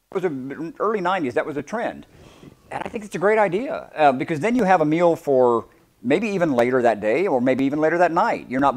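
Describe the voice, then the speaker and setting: A man in his forties, a chef talking casually and ramblingly to a live audience while cooking in a large demo kitchen, picked up from across the room. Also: on the high side. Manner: chatty, rambling, self-deprecating.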